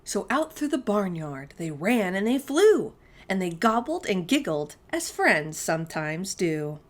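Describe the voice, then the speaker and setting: A woman's voice: mid-range voice, very animated, unhurried. A woman hosting a storytime for young children, reading aloud expressively and speaking directly to her listeners. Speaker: children's storytime host and reader.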